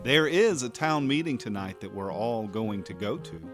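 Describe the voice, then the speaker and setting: A man's voice: mid-range voice, animated, moderate pace, fairly bright tone, soft background music, close to an everyday voice. A man playing an odd, overfriendly, petty village uncle in a comic audio drama. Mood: matter-of-fact.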